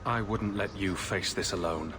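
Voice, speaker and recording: A man playing a composed, loyal adventurer hero in a video game, speaking in a measured, questioning way. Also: deep voice, a crowd in the background, natural voice. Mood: serious. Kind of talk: dramatic video game dialogue.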